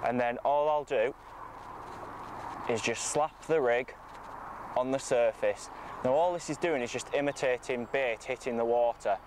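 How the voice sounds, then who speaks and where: A man's voice: mid-range voice, unhurried, long pauses. A man, giving an informal, matter-of-fact tutorial to camera as a coach. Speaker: fishing coach presenting a tutorial. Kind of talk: informal instructional talk to camera. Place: outdoors on a lakeside bank. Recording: outdoor ambient noise.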